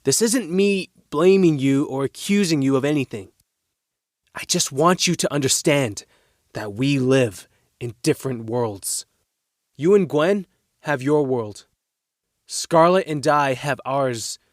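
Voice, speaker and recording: A man's voice: medium pitch, lively intonation, takes it slowly, no room sound, clean studio sound. A man playing a loyal, defensive working-class teenage boy in an audio drama, speaking earnestly and firmly.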